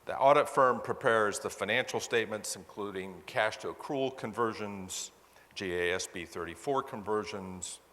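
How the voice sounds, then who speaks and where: A man's voice: on the low side, moderate pace, fairly bright tone. A man giving an explanatory presentation as a conference speaker, introducing a case study. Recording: echoey hall with long reverb.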